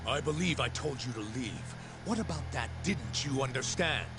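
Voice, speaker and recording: A domineering male boss in a video game, played by an actor. He is curt and commanding, and his pitch moves widely. Music plays clearly behind him. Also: lively intonation, normal speed, natural voice. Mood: angry, curt.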